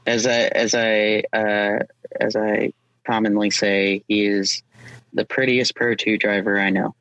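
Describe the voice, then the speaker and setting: Gravelly-voiced man chatting casually. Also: lowish voice, flat delivery, a little slow, fairly bright tone, dry recording, dull audio. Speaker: podcast guest, drift driver. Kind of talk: casual chat.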